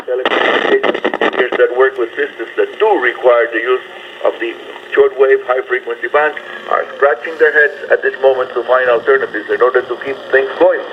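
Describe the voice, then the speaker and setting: Guy hosting a shortwave radio show, medium pitch, dull sound w some static. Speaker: radio host. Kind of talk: shortwave radio program presentation. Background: radio static and noise.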